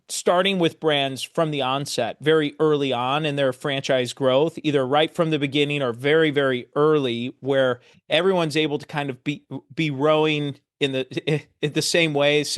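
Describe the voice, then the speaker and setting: A man hosting a conversational webinar interview, talking in a halting, roundabout way as he works toward his point. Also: a little high, fairly bright tone, dry recording, studio quality. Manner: hesitant, halting, roundabout phrasing.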